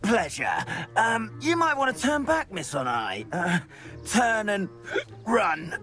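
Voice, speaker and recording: A cowardly, frightened caretaker in a fantasy game. His slow, halting delivery quavers and leaps wildly in pitch, sharp and strained, with faint music behind. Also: sing-song, full character voice. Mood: terrified, shaky. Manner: halting, quavering, stammering with fear. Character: terrified, cowardly, dithering male castle caretaker. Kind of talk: video game voice acting.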